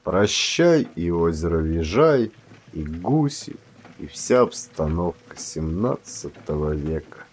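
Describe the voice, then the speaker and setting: A man's voice: low-pitched, big pitch swings, takes it slowly, slight background noise. A man speaking as an audiobook narrator, in a scripted reading style. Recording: music under the voice.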